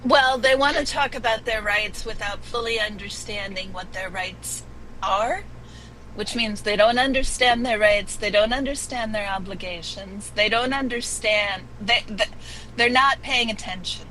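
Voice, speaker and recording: A woman's voice: medium pitch, measured pace, bright, forceful tone, a little hiss or hum, poor audio. A woman on a video call giving blunt, emphatic opinions in a casual group chat. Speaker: channel owner.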